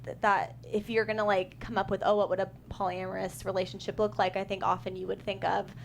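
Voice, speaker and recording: A woman screenwriter talks through her work in a relaxed panel chat. She rambles a little, full of fillers and restarts, in a mid-range voice. A faint hiss sits under the recording. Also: medium pitch, fast talker, creaky. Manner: chatty, many fillers and restarts. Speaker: screenwriter guest. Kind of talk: casual panel discussion.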